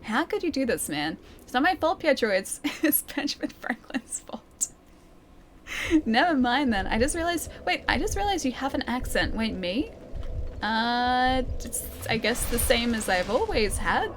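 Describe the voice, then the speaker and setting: Australian woman streamer, higher-pitched voice, casual and giggly, noisy stream audio. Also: sing-song, a little slow. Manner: chatty, giggly, self-mocking. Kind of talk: casual gameplay commentary.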